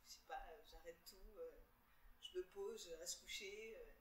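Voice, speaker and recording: A woman's voice: fairly low pitch, a little slow, roomy sound, poor audio. A woman interviewer asking casual, playful questions.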